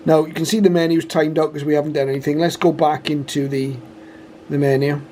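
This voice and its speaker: British man, flat delivery, slightly high voice. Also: brisk, a little hiss or hum. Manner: matter-of-fact, methodical step-by-step explaining. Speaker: radio reviewer and demonstrator. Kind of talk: informal product demonstration.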